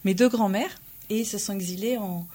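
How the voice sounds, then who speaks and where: A woman from Belgium, a playwright and stage director, answering interview questions in an earnest, measured way with frequent pauses. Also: mid-range voice, mellow, a little hiss or hum.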